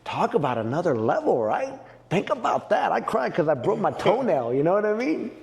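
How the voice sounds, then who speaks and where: Gravelly middle-aged man, animated talker. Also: fairly quick. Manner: animated, emotional storyteller who gets loud and high when excited. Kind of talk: casual podcast interview.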